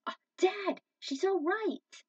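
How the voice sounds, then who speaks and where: A woman's voice: very high-pitched, slow pace, a little mellow, no echo, voice pushed up. A woman voicing an earnest young male hero in a story, speaking urgently and emphatically. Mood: relieved. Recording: dull, distant microphone.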